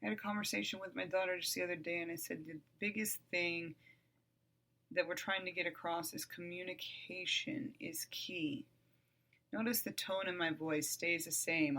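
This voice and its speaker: Woman, 40s, podcast host. Solo monologue, calm and even with blunt, profane edges. Crisp, bright tone. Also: lowish voice.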